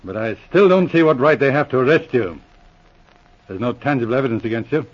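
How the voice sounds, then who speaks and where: An actor playing a thoughtful, kindly police sergeant who reasons deliberately, in 1940s radio drama style. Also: male voice, mid-range voice, animated, close to an everyday voice. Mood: concerned, steady. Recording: muffled vintage radio recording.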